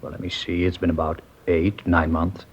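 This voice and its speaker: A meek, trusting older factory owner. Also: male voice, sits low, flat delivery, normal speed, slightly rough. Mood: gentle. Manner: gentle, hesitant, rambling speech. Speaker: witness in a police interview. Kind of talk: 1950s radio drama acting. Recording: muffled vintage radio broadcast.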